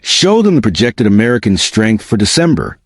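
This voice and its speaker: A stern German general gives orders quietly, with no emotion in his voice, read by a male narrator. The voice sits low, and the recording is dry. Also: sing-song, moderate pace, no echo. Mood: cold.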